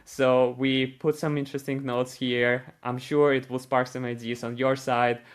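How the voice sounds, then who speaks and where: A man hosting an online panel in a mid-pitched voice. He sounds upbeat and welcoming, and he keeps the session moving along. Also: flat delivery, normal speed, clear, resonant tone. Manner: upbeat, repeats short praise. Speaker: host.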